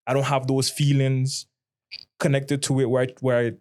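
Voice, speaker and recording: A man's voice: mid-range voice, completely flat delivery, moderate pace, a little mellow. A man from Saint Martin with a Caribbean accent, chatting casually and reflectively in informal slang as a podcast co-host. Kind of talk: casual conversation.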